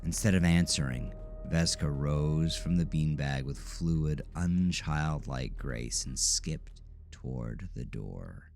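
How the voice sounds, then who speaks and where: A man's voice: very deep voice, slow, creaky, hardly any pauses, a little mellow, soft background music. A man narrating a scripted psychological horror story as a dramatic narrator.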